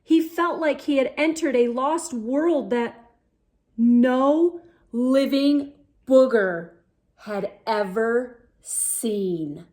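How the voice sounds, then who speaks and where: A woman reads a story aloud for young children in a fairly high voice. She goes extremely slowly, leaving dramatic pauses between phrases. Her delivery is playful and theatrical, with key words strongly emphasized. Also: a little mellow. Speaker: children's story reader, host.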